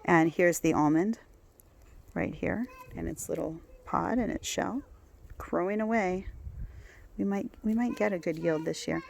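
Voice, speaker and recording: A woman's voice: fairly low pitch, a little slow, vocal fry, slight background noise. A woman guiding a casual outdoor plant walk, talking in a chatty way with many fillers and restarts, recorded on a handheld phone in a garden. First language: not Hebrew. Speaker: herbal plant walk guide.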